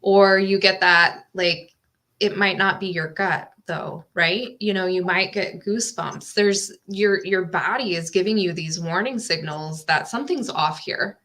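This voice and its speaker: Low gravelly woman, bright edge. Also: unhurried. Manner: reflective, earnest, explanatory. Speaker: empowerment coach, guest. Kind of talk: casual interview chat.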